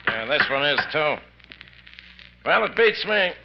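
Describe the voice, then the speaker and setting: An actor playing a plain-spoken, doubtful police chief with a gravelly voice and blunt, folksy manner in a 1950s radio mystery. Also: male voice, a little high, very animated, a little slow, heavily acted. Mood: baffled. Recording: muffled, very noisy 1950s radio recording.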